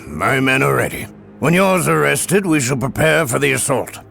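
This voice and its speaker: A man playing a grim, blunt, battle-hardened commander with a gravelly voice in a fantasy video game. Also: mid-range voice, expressive, moderate pace, pushed and bright, exaggerated voice. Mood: grim, businesslike. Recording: music behind the voice.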